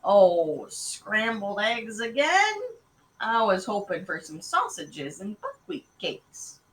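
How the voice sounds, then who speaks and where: A woman's voice: medium pitch, big pitch swings, takes it slowly, bright, forceful tone, slight background noise, full character voice. A woman voicing a mild, grumbling father of young twins in a children's storybook, mock-stern and complaining. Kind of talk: children's storybook read aloud.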